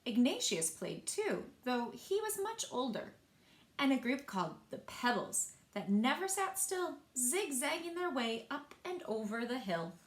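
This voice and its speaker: A woman reading picture books aloud in an expressive way, speaking directly to young listeners and asking them questions. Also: high-pitched, measured pace. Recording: echoey room.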